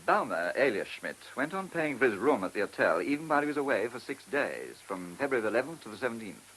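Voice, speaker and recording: Brisk policeman, hissy recording. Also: medium pitch, very animated, a bit bright, low-quality recording. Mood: brisk, reporting. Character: brisk, chatty male police officer reporting to his inspector. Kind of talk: radio play acting.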